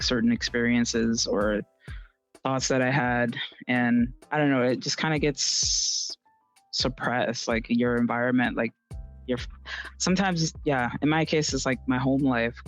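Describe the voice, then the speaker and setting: A man talks in a halting, casual way in a personal podcast conversation. His pitch is middling. The recording is a little dull and lo-fi, with faint music behind. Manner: hesitant, halting delivery. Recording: slightly dull lo-fi sound.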